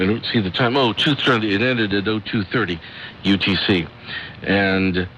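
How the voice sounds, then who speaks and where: Deep-voiced radio host, static-laden. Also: male voice, a bit bright. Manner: informal, hesitant while reading notes. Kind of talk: semi-scripted reading of listener reports. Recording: noisy shortwave radio reception.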